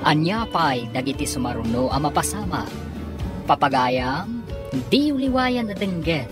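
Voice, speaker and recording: Lady narrating a radio drama, deep lively voice, music playing behind her. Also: a little slow.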